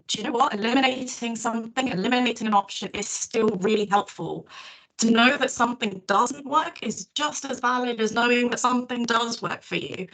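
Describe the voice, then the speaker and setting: Woman, webinar host, bright encouraging voice, on a video call. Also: fairly high pitch, rough recording. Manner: friendly and encouraging. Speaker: event host. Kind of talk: casual webinar talk.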